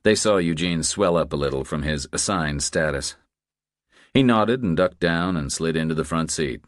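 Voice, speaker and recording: A man narrates a thriller audiobook in a deep voice. His pitch moves in an animated way through the scripted prose. The sound is close and dry, with no room on it. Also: normal speed, no echo.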